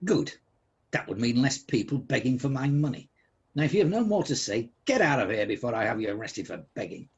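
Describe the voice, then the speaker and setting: An actor playing a miserly, bad-tempered old businessman in a comic radio drama, husky, sarcastic and blustering. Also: medium pitch, sing-song, fairly quick, darkish tone, highs cut off. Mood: outraged scorn.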